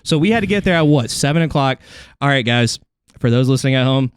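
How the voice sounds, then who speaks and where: A man's voice: mid-range voice, animated, fast talker, clean tone, no echo. A man hosting a comedy podcast, chatting casually in a slangy, profane, joking way.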